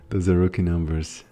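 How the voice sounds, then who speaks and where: Low-voiced man streaming a game. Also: warm tone. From Latvia. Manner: rambling, musing, greets chat. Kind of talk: casual gaming livestream chat.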